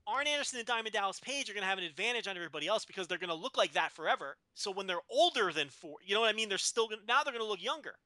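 A man in his thirties with a high, piercingly bright voice co-hosts a casual podcast. He jokes and laughs his way through the chat, cutting in over his partner. The sound is dry and close, with no room echo. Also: lively intonation, rapid-fire, few pauses. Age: 30s. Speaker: podcast co-host.

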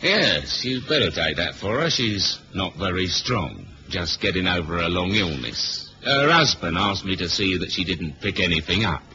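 A world-weary British spy talks in a very low register with sardonic, streetwise dryness. It is 1970s radio drama, slightly muffled with faint hiss behind. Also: male voice, sing-song, normal speed, hardly any pauses. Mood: dry banter. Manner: dry, sardonic, slangy. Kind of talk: 1970s radio spy drama, acting and first-person narration.

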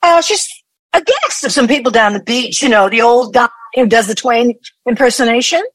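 A sarcastic, impatient grown daughter in an audio play , acted by a woman. Her high voice swings widely in pitch and sounds hostile, in a dry close recording. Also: animated, normal speed, no echo. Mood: tense, evasive.

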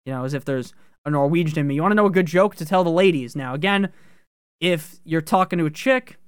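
A man hosting a fantasy football podcast, talking casually with profane, joking asides and sudden jumps in pitch on key words. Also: fast, smooth, no echo, clean studio sound.

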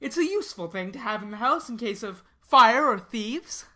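A woman voicing a cheerful, theatrical young gentleman who teases and exclaims, as character dialogue in an audiobook. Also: a little high, expressive, normal speed, voice pushed up. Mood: teasing, jovial. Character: cheerful, teasing young gentleman, tall and melodramatic.

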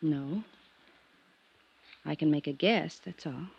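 A woman's voice: low-pitched, takes it slowly, vocal fry, a little mellow, slightly rough, heavily acted. Wistful, guarded woman, muffled old radio. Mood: defensive, troubled. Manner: wistful, dramatic. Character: lonely, wistful, guarded young woman under suspicion. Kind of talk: 1950s radio drama acting. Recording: noisy, muffled 1950s radio recording.

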